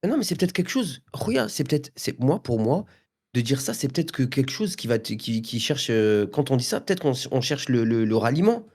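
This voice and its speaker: A man in his thirties, co-hosting a podcast in casual banter, animated, exclaiming and protesting. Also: mid-range voice, a little fast. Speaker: podcast co-host.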